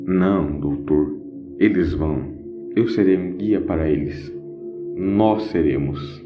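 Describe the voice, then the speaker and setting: A man playing a grandiose renegade celestial being in an audio drama, declaiming with dark, dramatic weight. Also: sits low, slow. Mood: pleading. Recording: boxy, echoey lo-fi with music.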